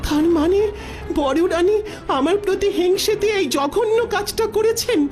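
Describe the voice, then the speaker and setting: Woman as a high-voiced, sorrowful queen mother. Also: lively intonation, a little slow, a little mellow. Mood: sorrowful, shocked. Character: sorrowful, resigned young queen, grieving mother. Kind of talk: dramatized fairy-tale audio story. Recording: music under the voice.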